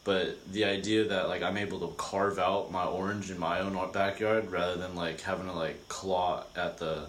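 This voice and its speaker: A young man chatting casually, halting and searching for words, with some casual swearing. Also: low voice, flat delivery, a bit bright. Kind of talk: casual chat. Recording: echoey room with faint noise.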